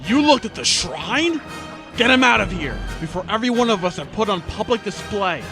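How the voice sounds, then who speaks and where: Man playing a gruff, bossy farm overseer. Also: sits high, sing-song, moderate pace, music in the background. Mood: alarmed, angry. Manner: curt, grumbling, bossy. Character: gruff, impatient male farm overseer in a rigid society. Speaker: voice actor. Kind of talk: audio drama acting.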